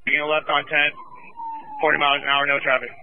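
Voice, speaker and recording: A man's voice: a little high, level tone, quick pace, gravelly. A man working as a police officer, radioing terse, clipped pursuit updates over a two-way radio. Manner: clipped, procedural phrasing. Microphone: police radio handset. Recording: tinny, noisy police radio transmission.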